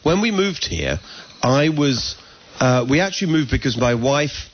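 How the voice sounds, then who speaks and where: A middle-aged man with an English accent answers interview questions in an earnest, eager way, often breaking off and restarting his sentences. His voice sits at an ordinary pitch. The recording is a bit dull and lo-fi. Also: lively intonation, breathy edge. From England. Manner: earnest, talks in quick restarts and self-corrections. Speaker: political candidate guest. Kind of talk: conversational interview.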